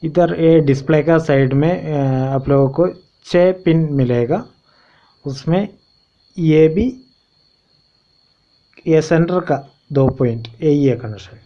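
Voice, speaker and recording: A man giving an informal step-by-step repair tutorial, explaining in a halting, repetitive way. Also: a little high, mellow. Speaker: phone repair instructor and channel host. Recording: dull lo-fi home recording.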